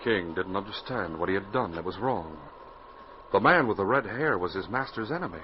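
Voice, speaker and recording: A man's voice: deep voice, animated, brisk. A man with a North American accent announcing and narrating a 1940s radio adventure in a dramatic, emphatic way. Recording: muffled, hissy 1940s radio recording.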